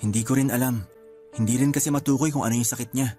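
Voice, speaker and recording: A man's voice: mid-range voice, steady tone, quick pace, warm tone, natural voice. A man acting a lonely, bereaved young nephew in a Filipino radio drama, plain-spoken and earnest, with a Filipino accent. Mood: subdued. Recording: music underneath.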